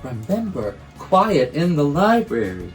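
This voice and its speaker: A man voicing a small, gentle, cheerful male mouse who speaks softly and reassuringly in a storybook reading. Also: fairly high pitch, huge pitch swings, slow, soft background music, heavily acted. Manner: soft, reassuring.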